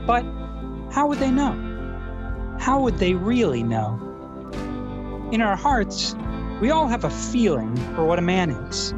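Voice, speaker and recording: A performer playing an earnest, self-serious male public radio host who speaks in a pensive, pompous, awkwardly polite way, as in a scripted feature parody. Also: medium pitch. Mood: solemn musing.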